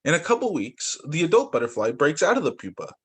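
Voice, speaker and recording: A man's voice: a little high, sing-song, quick pace, husky. A young man, a teacher, reading picture books aloud to children over a video call and breaking in with little asides and exclamations. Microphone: computer mic on a video call.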